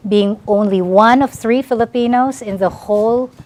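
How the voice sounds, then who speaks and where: A woman's voice: fairly high pitch, normal speed, a little mellow. A woman moderating a speaker panel and responding appreciatively.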